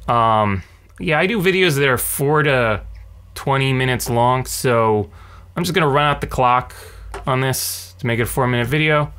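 A man in his thirties talking casually to camera as a tech video host, with a sardonic, animated ranting manner. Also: mid-range voice, moderate pace, clear, resonant tone. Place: small cluttered home room. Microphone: large condenser mic on a stand beside him.

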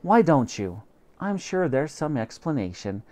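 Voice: medium pitch, sing-song, measured pace, dark tone. A teacher reading aloud and voicing a doting mother who coos over the family cat in a sing-song way. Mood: calm.

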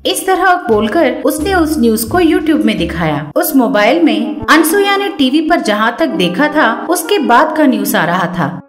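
Story narrator: woman, high pitch, scripted delivery, echoey track. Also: sing-song, a little slow. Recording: roomy sound with music behind.

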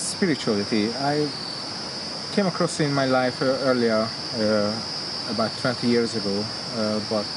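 Hesitant Hungarian-accented man, loud insects. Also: on the low side. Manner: hesitant, many filler sounds and restarts. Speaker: interviewee, yoga student. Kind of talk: testimonial interview. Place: outdoors in a garden among plants. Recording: loud outdoor insect noise. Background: loud insects and crickets.

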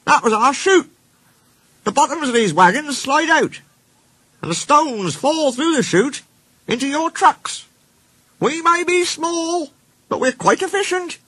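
A man's voice: very high voice, lively intonation, a little slow, pauses often, sharp tone, dry recording, pitched above the performer's own voice, heavily acted. A man voicing a small, cheeky green steam engine in a children's storybook, friendly and smug with a teasing manner. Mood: cheerful, proud.

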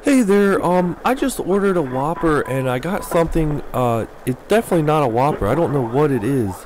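Young adult man, bumbling prank caller, deadpan, swinging intonation, light background noise. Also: fairly high pitch, fairly quick, rough recording. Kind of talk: prank phone call, feigned confused customer. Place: small cluttered room indoors. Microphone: microphone on a stand, close.

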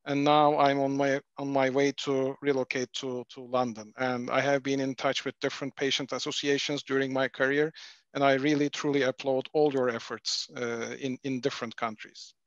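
A man from Turkey, a pharmaceutical executive, presenting formally at a webinar over a video call with frequent pauses. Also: medium pitch, no room sound. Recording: dull dry video-call audio.

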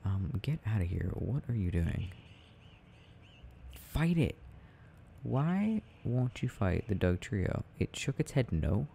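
Slow, low-voiced young man rambling softly. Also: very animated, creaky. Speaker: audio roleplay creator. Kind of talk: soft casual rambling while gaming, ASMR sleep aid. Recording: faint background noise.

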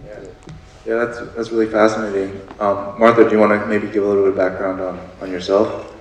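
Casual low-voiced moderator, echoey theater. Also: male voice, flat delivery, brisk, distant mic. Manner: casual, filler-heavy, upbeat. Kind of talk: stage moderation. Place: theater stage before an audience.

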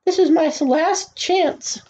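A woman acts out a young storybook boy, earnest and plaintive. The voice is pitched well up from an adult's, with a soft-edged tone on a slightly dull recording. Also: lively intonation, moderate pace, a little hiss or hum. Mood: anxious. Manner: high, earnest delivery. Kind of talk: storybook read-aloud.

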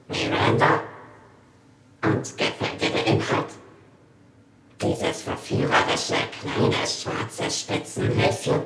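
A man playing an anonymous captor in a horror audio drama, taunting with sinister politeness in a whispery, toneless voice. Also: mid-range voice. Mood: accusing, taunting. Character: menacing anonymous captor, slow, taunting tormentor. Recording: echoey room, faint background noise.

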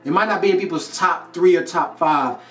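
A man's voice: high voice, fairly quick, a bit bright. A man in his thirties with an American accent gives a casual motivational talk to camera in an emphatic, slangy way. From North Carolina, United States. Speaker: host of a motivational video series. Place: indoor studio with plain grey backdrop. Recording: roomy echo, distant mic, music behind.

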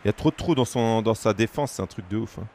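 A man in his thirties from France, streaming a football game and chatting casually with viewers, breaking into shouts at big moments. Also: on the low side, quick pace, a little hiss or hum. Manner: excitable, shouts at goals, chats casually with viewers. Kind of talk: live gaming commentary to chat. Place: small room at home.

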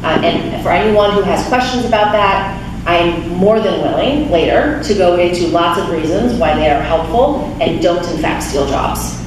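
A woman's voice: fairly low pitch, normal speed. Woman speaking in an echoey chapel. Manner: chatty, many restarts and fillers. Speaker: guest speaker from a refugee resettlement nonprofit. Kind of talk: informal talk with audience questions. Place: small church chapel, speaking at a lectern. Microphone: handheld mic, recorded by a far camera. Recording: echoey chapel, distant camera, room noise.

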